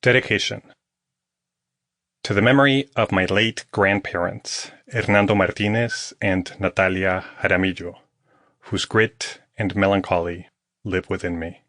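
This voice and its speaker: A man's voice: sits low, steady tone, unhurried, fairly bright tone, dry recording. A man reading scripted nonfiction audiobook narration as the author of the book. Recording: close, dry studio recording.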